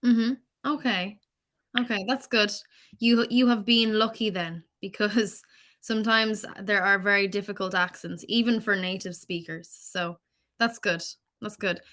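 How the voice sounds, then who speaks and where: A woman's voice: medium pitch, fairly even intonation, unhurried, fairly bright tone. A woman from Ireland, tutoring English in a patient, encouraging way and asking follow-up questions. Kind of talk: online tutoring conversation.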